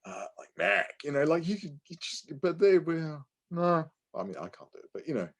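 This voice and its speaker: A man co-hosting a podcast, talking casually in an animated, digressive way as he reviews things. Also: fairly high pitch, normal speed, creaky. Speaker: podcast co-host.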